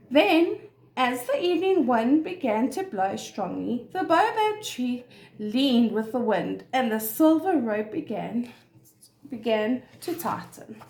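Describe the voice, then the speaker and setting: A woman reads to young children as a nursery teacher. Her voice is high and very expressive, taking its time over each phrase, in an echoey room. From South Africa. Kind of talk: reading a children's story aloud.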